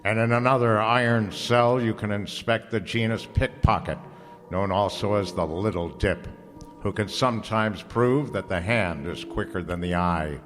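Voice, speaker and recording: A hard-boiled, heavyset detective acted by a man tells his case in a low, deliberate voice. He is wry and sardonic, in old radio drama fashion, with hall reverb around him and music behind. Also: a bit bright, lightly acted. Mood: wry, ominous.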